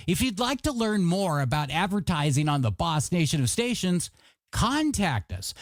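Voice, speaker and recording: A man's voice: sits high, no room sound. A man working as a radio DJ on a classic hits show, with jokey, punchy announcer patter over music. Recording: radio aircheck with music underneath.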